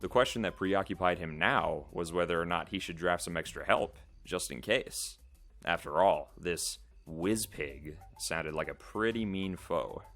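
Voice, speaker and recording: A man's voice: low-pitched, unhurried, a bit bright, faint music behind. A man narrating a story in a dramatic storybook style.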